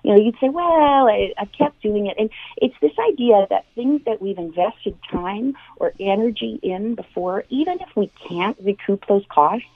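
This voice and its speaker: A woman's voice: medium pitch, expressive, normal speed, hardly any pauses, dry recording. A Canadian woman explaining things earnestly and conversationally, using everyday examples, as an expert guest in a radio interview. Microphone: phone call into a radio show. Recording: thin phone-like line.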